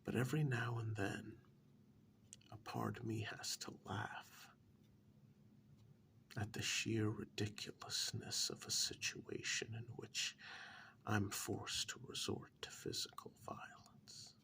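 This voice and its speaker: A man playing a menacing, soft-spoken ex-military club boss in an ASMR role-play, talking in a breathy half-whisper with deliberate pauses. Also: fairly low pitch, fairly even intonation, exaggerated voice. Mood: grimly reminiscing. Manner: slow, deliberate, quietly menacing with drawn-out pauses.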